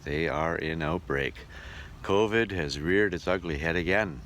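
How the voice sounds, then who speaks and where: A man's voice: deep voice, unhurried, fairly bright tone. A man who works as a travelling entertainer, casually narrating his trip as a vlog. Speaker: travelling musical entertainer and vlogger. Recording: noisy on-location sound, road and ambient noise.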